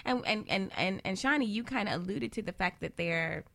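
Female financial advisor, bright forceful voice, emphatic coaching delivery in panel conversation. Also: medium pitch, expressive, a little fast, creaky. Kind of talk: lively panel talk on money habits.

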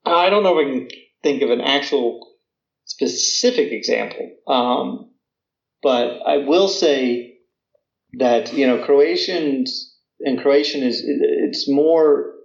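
A man's voice: medium pitch, slow, gravelly, echoey room, dull audio. An American man in his thirties talking casually, with lots of fillers, restarts and "you know". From United States. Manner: chatty, many fillers and restarts. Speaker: guest. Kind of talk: casual conversation.

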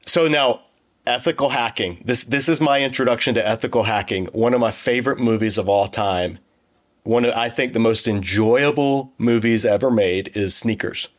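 A man's voice: medium pitch, moderate pace. A man hosting a conversational interview, talkative and enthusiastic. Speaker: host.

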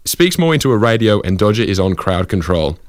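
A man's voice: fairly low pitch, very animated, brisk, no room sound, studio quality. A man presenting and narrating a comedy sketch read-through on a podcast.

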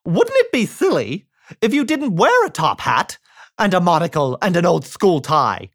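A man's voice: sits high, wildly swooping pitch, normal speed, a bit bright, no room sound, clean studio sound, heavily acted. A man voicing a silly, cheerful storybook character who asks daft questions in a sing-song way. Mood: cheerfully silly. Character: silly, cheerful male character quizzing animals he meets.

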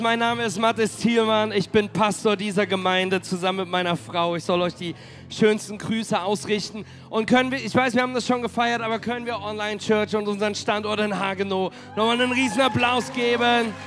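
A man preaching as pastor, energetic and rallying his congregation, with a cold that makes him hoarse. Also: high voice, fairly quick, faint music behind. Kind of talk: sermon. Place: church hall.

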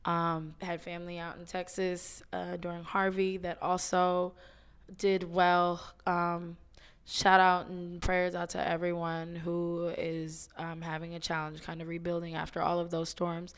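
A woman of thirty co-hosts a laid-back podcast, her voice hoarse yet smooth and resonant. She sits a little low in pitch and talks in easy, slangy chat, filled with ums. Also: a little slow. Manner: relaxed, slangy, with many ums. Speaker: podcast co-host. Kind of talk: casual chat.

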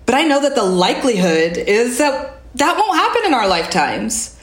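A woman's voice: a little high, very animated, normal speed. Woman playing an impassioned American teenage girl, echoey room. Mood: earnest, reassuring. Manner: emphatic, earnest, casual swearing. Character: fired-up, idealistic queer teenage girl activist. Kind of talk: naturalistic audio drama dialogue. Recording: roomy, echoey space.

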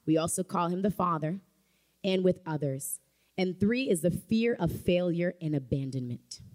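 A woman's voice: on the low side, lively intonation, moderate pace, a little mellow. A woman preaching a sermon in a church, emphatic and rising to fervent calls of amen. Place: church sanctuary.

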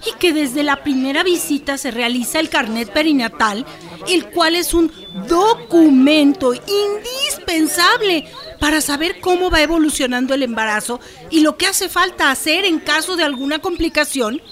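A bossy village woman in a Mexican radio drama. Her voice is high, and she talks in a brisk, impatient, instructive way with a Mexican accent. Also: animated, fairly bright tone. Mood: scolding, instructive. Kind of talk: Spanish-language radio drama acting.

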